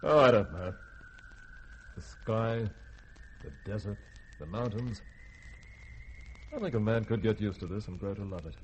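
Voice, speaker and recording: An actor playing a steady spaceship commander in a 1950s radio drama, brisk and authoritative. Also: male voice, fairly low pitch, lightly acted, rough recording. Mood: easy authority. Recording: faintly hissy 1950s radio recording.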